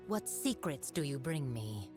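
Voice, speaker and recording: A scheming game sorceress with a low, silky voice that drips with arrogance. She teases in a sly, unhurried way. Faint music plays behind her. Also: big pitch swings, darkish tone, full character voice. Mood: sly taunting. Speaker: game character voice line. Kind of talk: recorded game voice acting.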